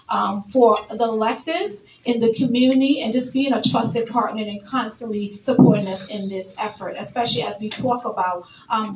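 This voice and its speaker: A woman in her forties, an elected official from Brooklyn, giving a lively speech at a public event. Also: fairly high pitch. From Brooklyn, New York. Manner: lively, energetic, engaging the audience. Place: event hall. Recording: roomy, distant, noisy event hall.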